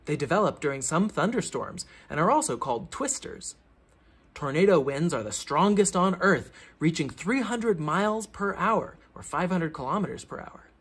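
A man reading a children's science book aloud like a teacher, with theatrical emphasis and clear explanatory phrasing. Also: fairly high pitch, expressive, normal speed, a bit bright.

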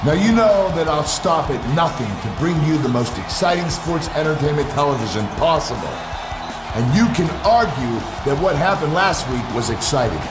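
A menacing wrestling showman in a video game, delivering declamatory promos. His high voice rings with echo, and music plays behind. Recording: echoey arena sound with music behind.

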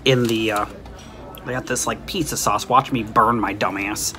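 A man's voice: lowish voice, steady tone, normal speed, music in the background. Chatty man filming on his phone. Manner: chatty, easygoing, thinking aloud with ums. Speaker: vlogger exploring places and reviewing food. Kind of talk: casual walkthrough commentary and food review. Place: outside an empty restaurant, then inside a diner. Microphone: phone camera at arm's length.

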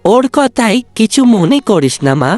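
A woman voicing a kind, worried father in a cartoon story, gentle and consoling. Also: mid-range voice, very animated, moderate pace, dry recording, lightly acted. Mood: tender, sympathetic. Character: kind, worried, ageing father of two daughters. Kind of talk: Bengali cartoon story dubbing. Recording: dry, music bed under the voice.

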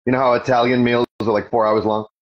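Forties male host, husky and a bit low, chatty and rambling, lo-fi home livestream sound. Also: level tone, fast. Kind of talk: casual chat while cooking. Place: home room with kitchen. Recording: dull lo-fi livestream audio.

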